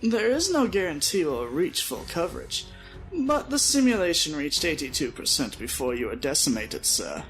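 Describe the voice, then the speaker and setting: Deferential audio-story aide, played by a male narrator: methodical and formal, lively intonation, music underneath. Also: on the high side, moderate pace, pitched above the performer's own voice, poor audio. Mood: earnest, cautious. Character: deferential, methodical male subordinate reporting to his leader.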